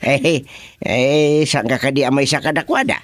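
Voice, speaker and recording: A man playing an excitable, comic village chairman in a radio drama, exclaiming with drawn-out interjections. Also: medium pitch, no room sound, lightly acted. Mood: animated, teasing. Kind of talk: comedic radio drama acting.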